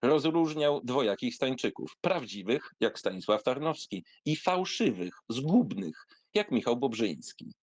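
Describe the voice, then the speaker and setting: A man giving a theatrical reading of a literary memoir, in an expressive, wry, conversational storytelling manner. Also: mid-range voice, measured pace, no echo. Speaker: narrator reading a literary essay aloud.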